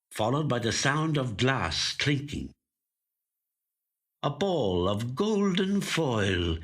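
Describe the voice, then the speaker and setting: An actor voicing an aging, watchful, covetous magpie in measured, deliberate first-person literary storytelling. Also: male voice, fairly low pitch, pushed and bright, exaggerated voice. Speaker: actor reading a short story. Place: recording studio.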